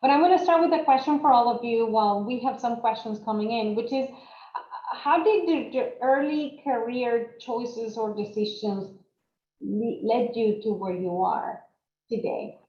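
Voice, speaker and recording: Mellow woman moderator in a roomy space. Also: a little high, unhurried. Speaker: panel moderator. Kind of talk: moderating a panel. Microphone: video call. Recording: dull, roomy video call audio.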